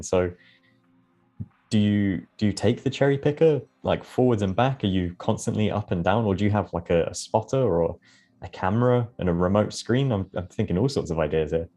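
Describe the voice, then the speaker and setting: A man's voice: low voice, dark tone. A man with a British accent hosting a live interview, chatty and curious, asking long rambling questions. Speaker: host. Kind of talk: casual interview chat.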